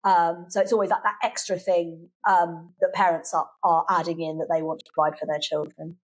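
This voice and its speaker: A woman's voice: fairly low pitch, flat delivery, a little fast, poor audio. A British woman, probably middle-aged in tone, chats as an interview guest, often restarting and repeating phrases for emphasis. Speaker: podcast guest, children's language class teacher. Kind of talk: conversational interview answers.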